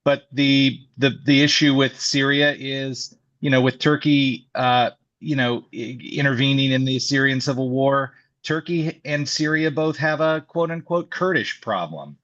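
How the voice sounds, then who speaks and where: Male co-host, mid-pitched, halting and filler-heavy, muffled lo-fi recording. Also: fairly bright tone. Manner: hesitant, many restarts and fillers. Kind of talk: political discussion. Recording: dull lo-fi sound.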